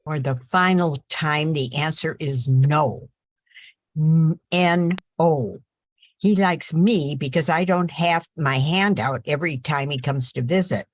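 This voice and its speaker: Sharp-tongued country sister for a radio play, older actress, deep for a woman, dry close sound. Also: slow, no echo. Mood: exasperated, firm. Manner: folksy, firm. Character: sensible, sharp-tongued farm-raised sister of a gambler. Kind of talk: 1940s radio play acting.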